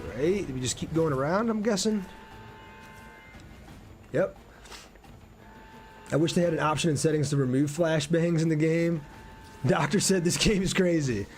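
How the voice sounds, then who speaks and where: A man's voice: on the high side, moderate pace, pauses often, a little mellow, soft background music. A man doing casual live gameplay commentary and chatting with viewers in a laid-back, slangy way. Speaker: game streamer.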